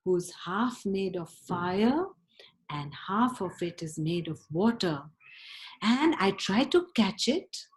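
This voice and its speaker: A woman's voice: mid-range voice, darkish tone. A woman voicing an earnest young prince who exclaims with wide-eyed wonder.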